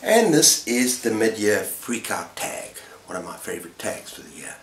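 A man's voice: on the low side, big pitch swings, normal speed, noisy background. A middle-aged man chatting casually as the host of a book-review vlog. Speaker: booktuber host. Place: indoor room lined with bookshelves. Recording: roomy, echoey indoor sound.

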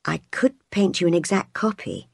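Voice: mid-range voice, very animated, moderate pace, vocal fry, dry recording, clean studio sound. A narrator voicing an earnest young woman schoolteacher in a storybook, polite and direct. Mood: gently persuading.

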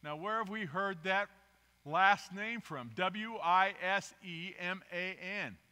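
An older man preaching a rambling, self-deprecating storytelling sermon at a podium microphone in a church sanctuary, swinging between loud emphasis and quiet asides. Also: high-pitched, takes it slowly. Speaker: guest preacher. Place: church sanctuary, large indoor room. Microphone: podium microphone, filmed from the pews. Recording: reverberant church hall.

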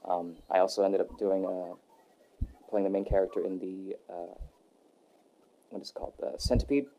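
A young adult man answering interview questions in a relaxed, rambling way, with many fillers and restarts. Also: deep voice, moderate pace, lo-fi sound. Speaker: voice actor guest. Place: Texas.

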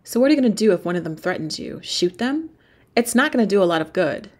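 A woman's voice: mid-range voice, expressive, fast talker, darkish tone, close to an everyday voice. A woman playing a worried, cautious sister in an audio drama, speaking in an urgent, pleading way. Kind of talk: ASMR audio drama acting.

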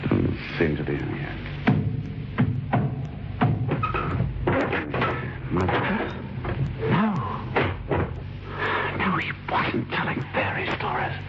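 Very deep male voice, suspicious peevish husband of forty, brooding, old radio drama, muffled recording. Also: huge pitch swings, slow pace, creaky, heavy background noise, full character voice. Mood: intrigued. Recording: dull vintage radio recording, loud music.

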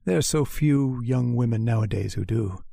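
An actor playing a cold, puritanical male lodger who sermonizes with quiet menace in a radio drama. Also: mid-range voice, moderate pace, vocal fry, slightly rough, no echo, studio quality. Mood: preaching.

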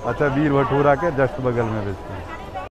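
Man, market vlogger, repeats prices to viewers, outdoor street, loud crowd behind, phone mic. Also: medium pitch, normal speed, muffled recording. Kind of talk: walking market tour, asking prices. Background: crowd chatter.

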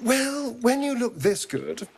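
A man's voice: extremely high pitch, very animated, slow, creaky, darkish tone, a little hiss or hum, heavily acted. A man voicing a flamboyant, sardonic, flirtatious rogue in a video game, with a theatrical, teasing delivery. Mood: playful, touched. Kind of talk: video game character acting.

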